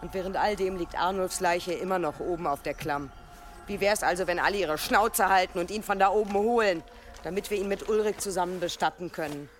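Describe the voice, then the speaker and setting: A woman's voice: lowish voice, fairly quick, slight background noise, close to an everyday voice. Woman acting fierce, blunt village widow. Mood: indignant, defiant. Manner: forceful, coarse, unflinching. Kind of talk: German radio drama acting.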